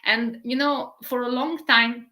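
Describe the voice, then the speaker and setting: Bright-voiced woman teaching. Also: a little high, steady tone, measured pace. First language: Polish. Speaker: spiritual teacher. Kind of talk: live-stream spiritual talk.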